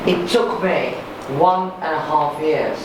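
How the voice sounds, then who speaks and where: A woman's voice: deep voice, slow. A woman sharing her own recovery experience at a workshop, candid, self-mocking and emphatic. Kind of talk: personal recovery share. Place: large meeting hall. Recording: echoey hall recording from far off, noisy.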